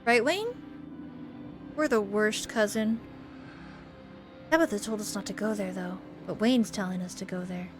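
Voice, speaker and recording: A woman doing a chatty, expressive playthrough, reading a story game aloud and commenting as she goes. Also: medium pitch, unhurried, long pauses, music in the background. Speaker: gamer host reading a visual novel aloud.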